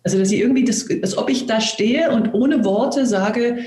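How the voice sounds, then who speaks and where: A middle-aged woman, an actress, speaking reflectively and searchingly in conversation, with many pauses. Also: fairly high pitch, reverberant. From Germany. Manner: thoughtful, searching, soft-spoken with many pauses. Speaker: actress and co-host.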